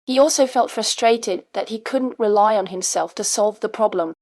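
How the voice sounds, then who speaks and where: A woman reading scripted comprehension narration for English learners in a measured, articulate manner. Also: medium pitch, a little mellow, no room sound, clean studio sound. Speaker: question-and-answer presenter for English learners. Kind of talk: scripted question-and-answer narration.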